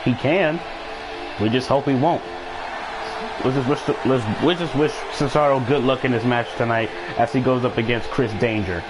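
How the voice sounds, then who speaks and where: A man's voice: mid-range voice, moderate pace, background noise. A man chatting casually as he hosts a live gaming stream and commentates on the action, often repeating phrases for emphasis.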